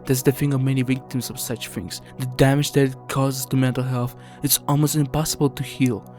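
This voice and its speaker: A man's voice: medium pitch, level tone, brisk, darkish tone. A man reading scripted video essay narration in an earnest, somber, explanatory way. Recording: music under the voice.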